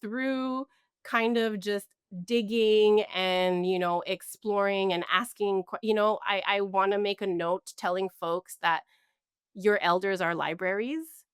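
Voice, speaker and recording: A woman's voice: medium pitch, steady tone, slow pace, clean tone, no echo, clean studio sound. A woman guest on an interview show, talking reflectively with many fillers and restarts. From Canada. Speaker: guest, mental health counselor. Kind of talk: conversational interview.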